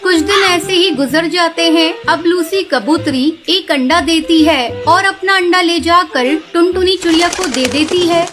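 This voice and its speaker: A woman's voice: very high-pitched, a little slow, few pauses, a bit bright, faint music behind. A woman giving scripted narration for a children's story.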